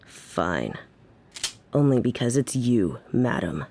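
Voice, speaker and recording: Slow-spoken, menacing female mob boss played by an actress. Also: a bass voice, sing-song, darkish tone, slight background noise, full character voice. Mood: grudging. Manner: measured, formal, menacing. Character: tough, protective female mafia boss, formal yet foul-mouthed. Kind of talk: audio drama acting.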